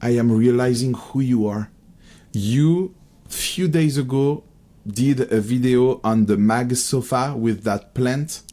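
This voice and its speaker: A man's voice: medium pitch, takes it slowly, warm tone. A man with a French accent, co-hosting a casual podcast, playful and given to repeating words. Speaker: podcast co-host.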